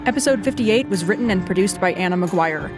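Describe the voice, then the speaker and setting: A woman's voice: mid-range voice, animated, fairly quick. A woman reading scripted closing credits as an announcer. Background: guitar music.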